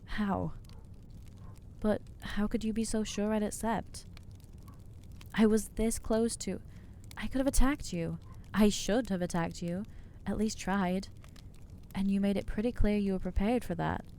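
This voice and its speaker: A woman playing an anxious, newly turned vampire in an ASMR roleplay, speaking in a hesitant, earnest, halting way with gentle inner conflict. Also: mid-range voice, slight background noise, natural voice. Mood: incredulous, agitated. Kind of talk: ASMR roleplay acting, intimate monologue.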